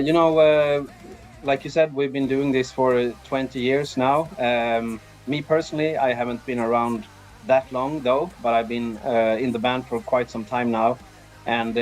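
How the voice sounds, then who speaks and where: A man from Sweden giving casual, halting interview answers as a band's drummer. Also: medium pitch, a little mellow. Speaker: band drummer being interviewed.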